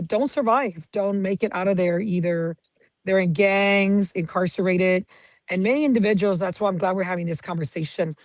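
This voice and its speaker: A woman in her fifties from San Antonio, Texas, a county clerk giving steady, detailed answers as a guest. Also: on the low side, dry recording. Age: 50s. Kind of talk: interview answers.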